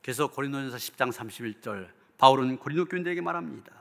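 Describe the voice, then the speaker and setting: Forceful male pastor, ringing hall reverb. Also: mid-range voice, animated, rapid-fire, gravelly. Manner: earnest, rhetorical, emphatic. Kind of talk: sermon preaching, closing in prayer. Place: church hall.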